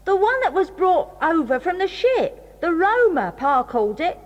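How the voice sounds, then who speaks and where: A sullen, whiny boy, the cowardly son of villains. The voice is very high and jumps about in pitch. It carries a long reverb tail. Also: very animated, normal speed, lo-fi sound. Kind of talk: 1980s audio drama acting.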